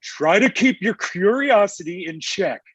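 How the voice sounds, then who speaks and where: A man's voice: high-pitched, lively intonation, measured pace, a bit bright, slightly rough, heavily acted. A man playing a grumpy, ancient Santa Claus in a comedy table read, with a blunt, testy, conversational manner. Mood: stern.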